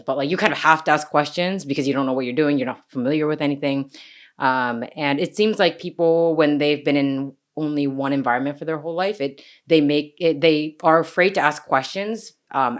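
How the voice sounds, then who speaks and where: Low-voiced young woman, candid and casual. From born in Japan, grew up partly in the US. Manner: candid, self-deprecating, chuckles while talking. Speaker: interview guest. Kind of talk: casual reflective interview talk.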